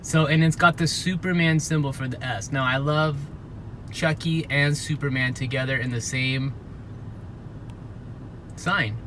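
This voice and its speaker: A man's voice: a little high, fairly even intonation, measured pace, pauses often, noisy background. An older man vlogging outdoors as he walks a city street, talking in a chatty, musing way and often breaking off to rethink his words. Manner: chatty, musing, self-interrupting. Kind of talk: casual walking vlog.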